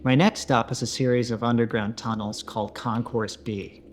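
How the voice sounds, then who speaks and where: A man playing a lone survivor in a found-footage horror story, recounting his exploration in a halting, understated, conversational way. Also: medium pitch, steady tone, background noise, lightly acted. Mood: reflective, wary. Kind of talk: reflective found-footage confessional narration.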